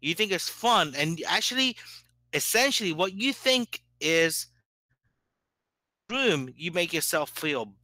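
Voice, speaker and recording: A man berates someone over online voice chat, aggressive and heavy on profanity. His voice sits a little high and bright, with a dry, close sound. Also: sing-song, slow pace, long pauses, dry recording. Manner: aggressive, insulting, heavily profane. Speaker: debate stream participant. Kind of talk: heated online argument.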